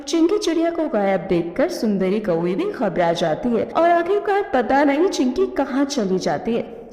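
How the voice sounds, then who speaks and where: High, mellow woman narrating cartoon tales. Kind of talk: scripted storytelling narration for cartoon. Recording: echoey reverberant voice-over.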